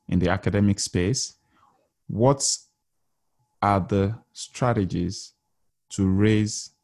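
A man's voice: lowish voice, darkish tone. A man interviewing a guest, asking long, earnest, rambling questions.

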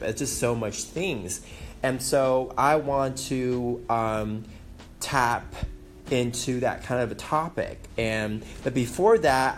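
Slow, hesitant man with a Taiwanese accent. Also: medium pitch. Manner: hesitant, many ums, self-conscious. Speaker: podcast host. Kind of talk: casual solo podcast talk. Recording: faint background noise.

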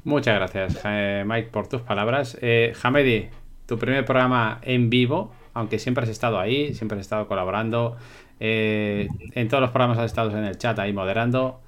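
A man's voice: medium pitch, normal speed, a bit bright. A Spanish man hosting a livestream podcast, easygoing as he wraps up the show. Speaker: podcast host.

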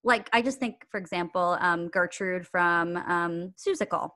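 A woman's voice: on the low side, unhurried, pushed and bright. A woman guest on a podcast, talking earnestly and reflectively in casual conversation. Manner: earnest, reflective, with bursts of laughter. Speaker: podcast guest, acting coach.

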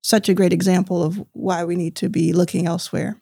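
A woman's voice: fairly low pitch, flat delivery, a little fast, creaky, dark tone, no echo, studio quality. A woman from the southern United States with an American accent, interviewing someone in a casual, conversational way.

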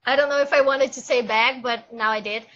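A woman's voice: fairly high pitch, fairly even intonation, fairly quick, fairly bright tone, dull audio. A woman with a Brazilian Portuguese accent, speaking English in a casual discussion and thinking aloud as she searches for words.

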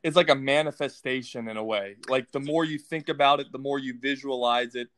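A man's voice: mid-range voice, fairly even intonation, brisk, fairly bright tone, dry recording. A man guest in a casual chat, speaking in an easygoing, slightly hesitant way with fillers. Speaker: podcast guest. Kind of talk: casual conversation.

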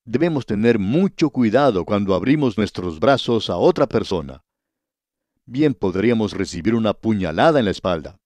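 A man's voice: lowish voice, darkish tone, no echo. A man teaching a radio Bible study in an earnest, scripted way, often addressing the listener directly.

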